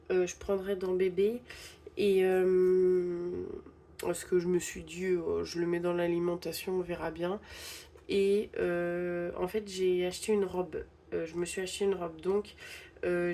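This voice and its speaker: A woman's voice: medium pitch, warm tone, slight background noise. A young woman with a stuffy head cold, casually narrating a budgeting vlog and hesitantly counting bills aloud. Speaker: budgeting channel host.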